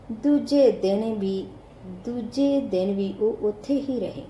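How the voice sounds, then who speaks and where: A woman with an Indian Punjabi accent narrates a scripted short story as an audiobook reader. Her voice sits at a medium pitch with a warm, mellow tone. The recording has a noticeable room echo and a faint layer of background noise.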